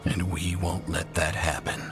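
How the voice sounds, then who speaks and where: An actor playing a grave, terse and commanding scout leader in a fantasy video game. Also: male voice, deep voice, level tone, measured pace, music in the background. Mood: grave, resolute.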